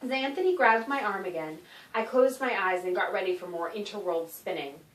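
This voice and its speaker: A woman author reading a children's story aloud in an expressive, animated narration with dramatic emphasis. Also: medium pitch, fairly quick. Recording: roomy echo, distant microphone.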